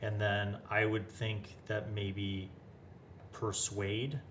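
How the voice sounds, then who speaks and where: Low-voiced man thinking aloud. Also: flat delivery, a bit bright, slight background noise. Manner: thinks aloud, asks many quick questions. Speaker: host. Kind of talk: casual chat about building a tabletop game character.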